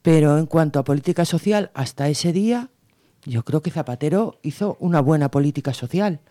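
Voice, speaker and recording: Woman from Guadalajara, campaigner on a panel; mellow, darker tone, earnest and emphatic. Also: deep voice, lively intonation, fairly quick. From Guadalajara, Spain. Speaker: political campaigner. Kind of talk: radio panel discussion.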